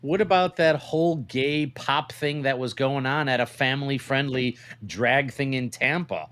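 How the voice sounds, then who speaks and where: A man's voice: mid-range voice, a little slow, a bit bright, clear, resonant tone. A man co-hosting a podcast in casual, bantering chat, crude and joking, often questioning his partner. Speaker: podcast co-host.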